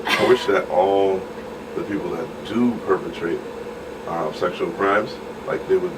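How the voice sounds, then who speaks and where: A man, a radio DJ, talking casually in a halting way with many restarts. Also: sits low. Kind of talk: casual panel chat. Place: radio studio. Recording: distant mic with clear background noise.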